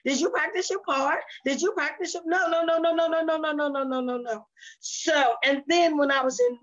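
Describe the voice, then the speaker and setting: Female interviewee, actor, notably high pitch, animated anecdotal delivery, lo-fi recording. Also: moderate pace. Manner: animated, repeats phrases, acts out quotes. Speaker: stage play actress being interviewed. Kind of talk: unscripted personal anecdotes. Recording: dull, lo-fi sound.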